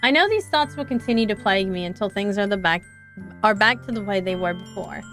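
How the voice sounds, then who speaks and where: A young woman streamer reads a visual novel aloud in an expressive, earnest way into a close microphone. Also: medium pitch, a little fast, faint music behind.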